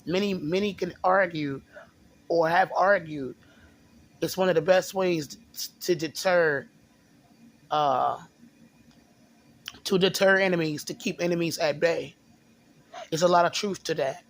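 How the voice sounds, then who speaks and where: A man with a slightly raised pitch talks straight to camera in a casual monologue. His throat is a little sore, and he speaks haltingly, restarting phrases and filling pauses with "um.". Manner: halting, repeats words, many fillers. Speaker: video blogger.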